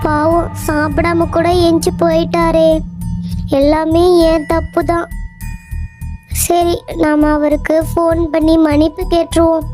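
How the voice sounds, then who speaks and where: A woman's voice: very high voice, flat delivery, measured pace. A woman voicing an anxious, apologetic young wife in a cartoon story who speaks in a pleading way, with music playing behind. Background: background music.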